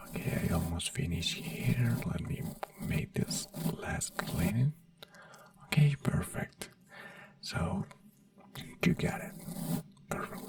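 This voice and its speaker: A man whispering slowly and gently in an ASMR roleplay as a neurologist, with halting, simple phrasing. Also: low-pitched, creaky, no room sound, far from the mic. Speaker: ASMR creator playing a neurologist.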